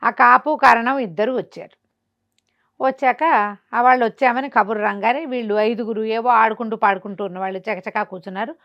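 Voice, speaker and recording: A woman's voice: fairly high pitch, steady tone, a little fast, lo-fi sound. A woman with a Telugu accent telling a folk tale conversationally, expressively acting out the dialogue of its characters. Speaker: storyteller.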